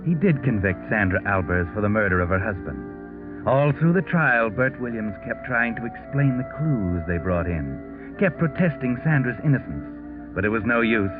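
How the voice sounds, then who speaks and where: An actor playing an ominous, sardonic American storyteller, narrating a 1940s radio mystery in a knowing, theatrical way. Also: male voice, on the low side, lively intonation, moderate pace, lightly acted. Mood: wry, knowing. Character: sardonic, ominous male storyteller of crime tales. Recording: tinny 1940s radio recording with music.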